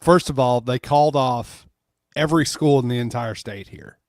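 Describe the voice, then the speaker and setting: A man's voice: mid-range voice, normal speed, dry recording, studio quality. A man chatting casually as a second voice on a livestream. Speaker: livestream co-host or guest. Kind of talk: casual chat.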